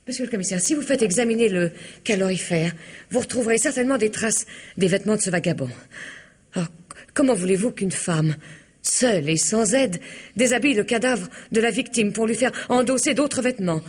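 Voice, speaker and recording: A woman's voice: mid-range voice, brisk, a little mellow, slightly rough, lightly acted. An actress playing a cunning wife who accuses her husband, in a vintage French radio drama, feverish and accusing. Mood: triumphant.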